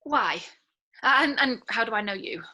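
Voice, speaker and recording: A woman playing a bewildered, searching woman in her late twenties in a scripted drama table read, halting and questioning. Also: fairly high pitch, lively intonation, sharp tone, full character voice. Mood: confused. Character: bewildered, searching 28-year-old woman, lost and disbelieving. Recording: video call.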